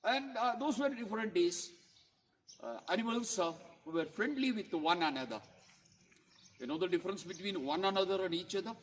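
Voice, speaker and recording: A man telling a story to children in a teacherly way, pausing to ask questions and explain words. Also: high voice, reverberant, slight background noise. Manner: didactic, asks listeners questions and explains words.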